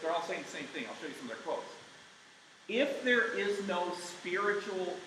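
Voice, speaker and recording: Man, camp speaker, emphatic, slightly high voice, faint room noise. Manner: emphatic, step-by-step explaining; says he has a loud voice. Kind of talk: live apologetics talk. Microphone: mic taken off partway. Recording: very echoey room.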